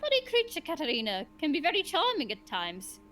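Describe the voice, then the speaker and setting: Woman putting on a sharp, grumpy old-fashioned male sage. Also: high-pitched, huge pitch swings, fairly quick, faint music behind, voice pushed up, exaggerated voice. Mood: musing, fond. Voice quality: wavering, sharp voice.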